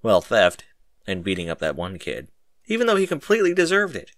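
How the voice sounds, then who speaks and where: Male fiction narrator, low pitch, forceful and very lively intonation, dry close recording. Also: fairly quick, no room sound. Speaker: narrator of a fan fiction audiobook. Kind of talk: animated scripted narration.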